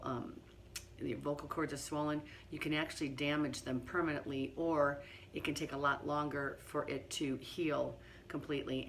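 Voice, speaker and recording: A hoarse middle-aged woman with laryngitis, talking quietly and partly whispering as she demonstrates a recipe in her home kitchen. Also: a bass voice, slight background noise. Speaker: home cooking host. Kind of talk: casual cooking demonstration.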